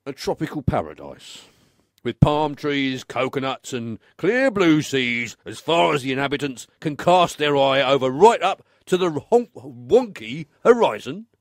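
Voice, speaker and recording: Man acting a wry tradesman with swooping pitch. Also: big pitch swings, measured pace, no room sound, clean studio sound. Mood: wry, incredulous. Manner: wry, incredulous, conversational. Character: put-upon, wry, obliging male odd-job man. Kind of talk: radio play dialogue.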